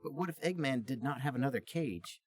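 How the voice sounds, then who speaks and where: Animated man reading a comic story aloud. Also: mid-range voice, fairly quick. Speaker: podcast co-host and reader.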